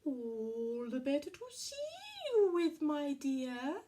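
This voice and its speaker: Puppet villain played by a woman: wolf faking a sweet old granny, high, slow, cooing menace. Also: lively intonation, dark tone. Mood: sly, menacing. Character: wicked wolf impersonating a sweet old grandma. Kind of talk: puppet-show storytelling.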